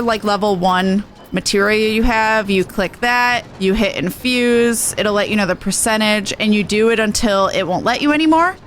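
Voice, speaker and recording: A woman's voice: mid-range voice, a little hiss or hum. A woman game streamer giving a casual walkthrough in a chatty, slangy, informal way. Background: game music.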